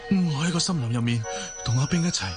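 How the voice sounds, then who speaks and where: A man's voice: a little high, fast talker, natural voice. A man playing an urgent, lovestruck young man in a melodramatic Cantonese radio drama, with a Hong Kong accent. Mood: pained, dazed. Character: ageless young man regaining past life, lovestruck, frantic. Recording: music under the voice.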